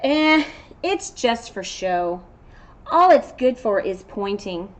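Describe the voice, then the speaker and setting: A woman voicing a friendly, modest unicorn from a children's picture book, with emphatic, drawn-out delivery. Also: on the high side, sing-song. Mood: self-deprecating. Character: modest, friendly unicorn, proud yet self-doubting.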